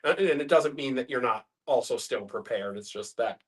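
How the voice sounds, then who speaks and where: A man taking part in a group discussion, reflecting philosophically in a halting way as he works through his thoughts. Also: medium pitch. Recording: group in room, distant mic.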